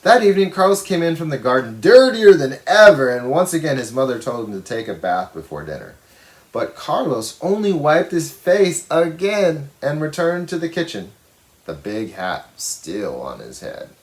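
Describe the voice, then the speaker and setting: A man's voice: on the high side, big pitch swings, moderate pace. A man reading a children's storybook aloud expressively, with simple explanatory asides for young listeners. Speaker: storybook reader.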